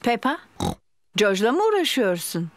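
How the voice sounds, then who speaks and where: A woman voicing a patient, cheerful cartoon mother pig with a gentle, sing-song motherly manner. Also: a little high, very animated, moderate pace. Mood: calm. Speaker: Mummy Pig voice in a cartoon dub. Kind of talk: cartoon dubbing.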